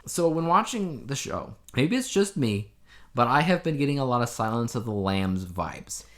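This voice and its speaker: A man's voice: mid-range voice, very animated, normal speed, a bit bright. A man co-hosting a podcast in casual chat, telling things in a conversational way with emphatic asides. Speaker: podcast co-host. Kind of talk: casual chat reviewing a TV show.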